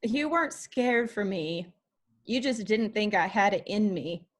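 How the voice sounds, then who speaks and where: A woman's voice: medium pitch, animated, unhurried. A woman playing a defiant, wounded country singer in her twenties at a screenplay table read. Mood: defiant, bitter.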